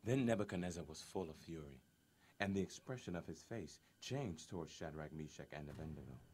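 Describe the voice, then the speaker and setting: A man narrating a dramatized scripture reading in a measured, solemn manner. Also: extremely low pitch, expressive, a little mellow, slight background noise.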